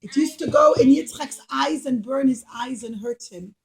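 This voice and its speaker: A high-voiced woman tells a story to children. She uses simple, emphatic phrasing and addresses her young listeners directly, like a teacher. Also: moderate pace, a little mellow, low-quality recording. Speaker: children's puppet show storyteller. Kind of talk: animated storytelling for children, asking questions.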